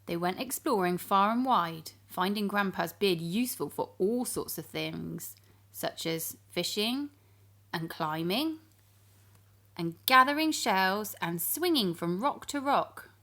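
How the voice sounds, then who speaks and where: A woman reading a storybook to young children in an animated, expressive manner, addressing her listeners directly. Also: mid-range voice, takes it slowly.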